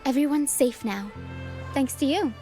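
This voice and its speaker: A woman's voice: high-pitched, unhurried, mellow, faint music behind. A woman voicing a caring, dutiful young female first mate in a video game. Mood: reassuring, earnest.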